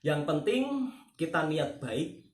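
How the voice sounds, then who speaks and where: A man's voice: fairly high pitch, very animated, takes it slowly. A man from Java with a Javanese accent, hosting his channel and chatting earnestly with a friend, often asking for agreement. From Java, Indonesia. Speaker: channel host. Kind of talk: casual chat with a friend. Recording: echoey room.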